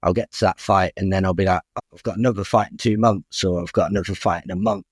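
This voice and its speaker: Young male guest, low register, dry close mic, candid and talkative. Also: steady tone, fast talker, slightly rough, no room sound. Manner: open, frank, chatty, restarts with "I'll be honest". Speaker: guest boxer. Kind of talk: candid podcast interview.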